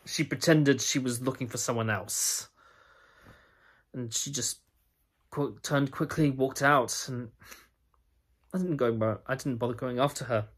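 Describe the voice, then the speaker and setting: Young aussie guy doing a lonely bitter loser, husky, halting and self-hating, audition monologue. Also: mid-range voice, fairly bright tone. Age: young adult. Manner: confessional, halting, self-loathing. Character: lonely, bitter young man rejected by a date.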